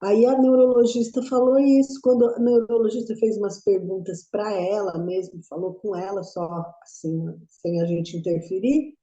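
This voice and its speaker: A woman's voice: mid-range voice, steady tone, moderate pace, hardly any pauses, mellow, roomy sound, highs cut off. A middle-aged woman in a study group asking a long question, rambling and restarting her sentences. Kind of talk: casual group discussion.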